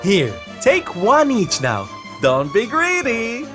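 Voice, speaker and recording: A man's voice: very high voice, huge pitch swings, slow pace, heavily acted. A man voicing a flamboyant, theatrical male jester performer in a game cutscene, drawling and calling everyone darlings. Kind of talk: video game cutscene voice acting. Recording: game music behind.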